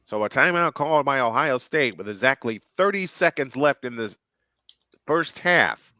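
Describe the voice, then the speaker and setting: Bright, excitable play-by-play man. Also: mid-range voice, normal speed, no echo, clean studio sound. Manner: excited, rising calls on big plays. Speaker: play-by-play commentator. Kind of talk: live game commentary on a fan stream.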